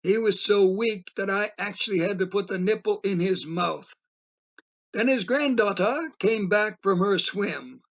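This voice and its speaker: Audio play narrator: male actor as a folksy, bemused fellow with a notably high voice, spinning a yarn. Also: measured pace.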